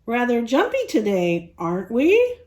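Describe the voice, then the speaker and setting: Storybook bully: grumpy male sheriff dog, performed by a woman, gruff, mocking, very animated delivery. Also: on the high side, measured pace. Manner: gruff, taunting, bossy.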